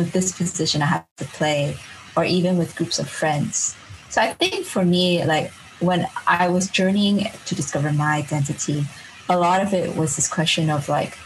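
A woman's voice: low-pitched, a little hiss or hum, poor audio. A young woman from Singapore talking reflectively as a podcast guest, chatty, with lots of filler words and frequent laughter. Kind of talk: reflective conversational storytelling.

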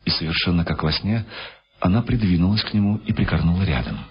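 A man's voice: sits low, fast talker, slightly rough. A man reading scripted literary narration in the manner of a radio drama. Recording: dull lo-fi radio sound. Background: music behind the voice.

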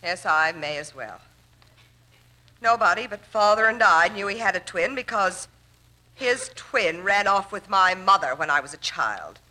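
A woman's voice: mid-range voice, expressive, a little slow, sharp tone, poor audio. An actress playing a scheming, determined woman in a 1940s radio drama, speaking precisely and melodramatically. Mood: bitter resignation. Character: scheming, determined woman feigning grief, threatening at gunpoint.

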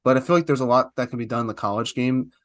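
A man's voice: medium pitch, steady tone, racing, a bit bright, dry recording, studio quality. A man hosting an interview podcast, asking long questions in a talkative way with frequent "uh" fillers. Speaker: podcast host.

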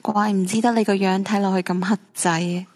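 A woman's voice: mid-range voice, steady tone, brisk, darkish tone, no room sound, natural voice. A woman with a Hong Kong Cantonese accent playing a bitter, heartbroken, stubborn young female ghost in a supernatural radio drama. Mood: cold, mocking.

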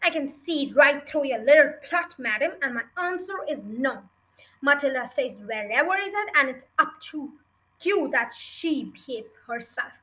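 A woman's voice: high-pitched, animated, moderate pace, rough recording. A woman voicing a bullying, scornful headmistress who barks and snorts with contempt in a storybook reading. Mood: domineering, angry. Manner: barking, snorting, contemptuous, loud. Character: bullying, scornful adult headmistress, giant and bellowing.

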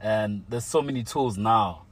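A man's voice: on the low side, measured pace. A young man telling a personal story in casual chat, full of slang and fillers like "like" and "you know". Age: young adult.

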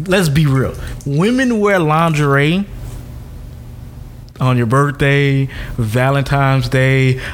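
A man co-hosting a casual chat, talking bluntly and playfully, animated and swearing freely. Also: mid-range voice, takes it slowly, a little hiss or hum.

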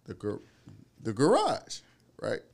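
A man in his thirties from the Cleveland area telling animated, slangy stories in casual chat, swearing freely. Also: medium pitch, slow pace, creaky. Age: 30s.

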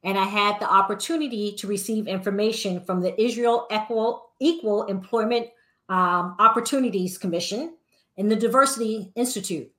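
A woman hosting a podcast interview, introducing her guest and asking questions in a deliberate way. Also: medium pitch. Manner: deliberate, warm introductions with light laughs. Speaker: podcast host.

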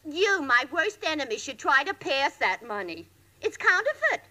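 An actress playing a belligerent, blunt young bride-to-be in a snappy 1940s radio comedy style. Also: female voice, high voice, big pitch swings, moderate pace, sharp tone, heavily acted. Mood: snappish. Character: brassy, belligerent young bride-to-be, feared tough gal.

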